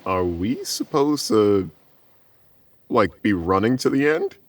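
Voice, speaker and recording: Animated, hesitant man playing a tabletop game. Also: on the low side. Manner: halting, hesitant. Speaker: player in tabletop role-playing podcast. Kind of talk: casual improvised game chat.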